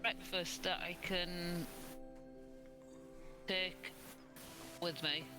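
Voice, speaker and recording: A man playing a tabletop game, speaking hesitantly with many pauses. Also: high-pitched, little pitch movement, music in the background. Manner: hesitant, lots of ums. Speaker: player.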